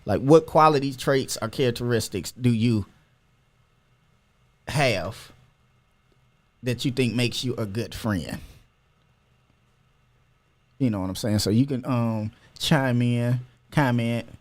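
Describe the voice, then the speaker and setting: A man's voice: medium pitch, takes it slowly, pauses often. A man hosting a casual podcast, talking loosely and opinionated with lots of slang. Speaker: podcast host.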